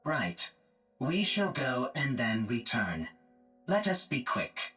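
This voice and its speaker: An actor playing a calm, emotionless male combat support robot who speaks in flat, precise, formal phrases. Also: medium pitch, slow pace, soft background music, exaggerated voice. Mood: measured.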